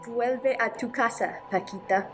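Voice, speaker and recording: A woman playing a gentle church sister who translates for a child in an amateur radio play, on a noisy recording. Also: a little high, moderate pace, music in the background, close to an everyday voice. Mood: gentle, patient.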